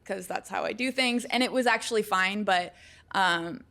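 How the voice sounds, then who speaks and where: A woman's voice: medium pitch, normal speed, gravelly, fairly bright tone. A woman with a mid-range voice who co-hosts a casual podcast. She reflects aloud on personal experience and steers the conversation with follow-up questions. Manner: conversational, reflective, guides the discussion. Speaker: podcast co-host.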